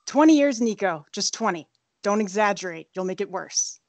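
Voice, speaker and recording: A woman's voice: mid-range voice, lively intonation, normal speed, a little mellow, no room sound, natural voice. An actress playing a protective, chiding aunt in her early fifties in a screenplay table read. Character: protective, chiding aunt aged fifty-two.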